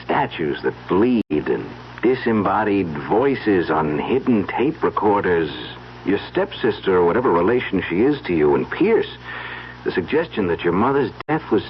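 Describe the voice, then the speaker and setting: An actor playing an uneasy, suspicious young husband in an earnest, questioning old-time radio mystery style. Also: male voice, low-pitched, very animated, normal speed. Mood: troubled. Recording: dull, noisy old radio recording.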